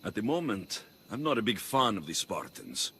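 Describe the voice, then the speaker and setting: Grim low-voiced mercenary. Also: male voice, big pitch swings, moderate pace, pushed and bright, slight background noise, heavily acted. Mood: curt, guarded. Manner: blunt, curt. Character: grim vengeful young male Greek mercenary. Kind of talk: dramatic video game acting.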